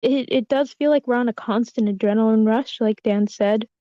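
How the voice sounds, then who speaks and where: A woman's voice: fairly high pitch, moderate pace, dark tone, no room sound. A woman giving casual feedback in an online meeting, tentative and giggly, often trailing off. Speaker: table-read participant giving script feedback. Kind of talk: casual spoken critique. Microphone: online meeting call. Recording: dull lo-fi online meeting audio.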